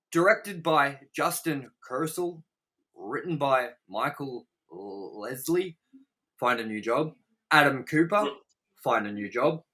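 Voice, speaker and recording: A man's voice: on the high side, pushed and bright. A man hosting a casual movie review chat, exasperated and prone to swearing. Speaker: host of a movie review series.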